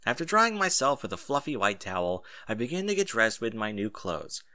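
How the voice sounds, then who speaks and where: A man narrating a first-person story aloud in an expressive, animated way. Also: on the low side, brisk, no echo, clean studio sound. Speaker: audiobook narrator.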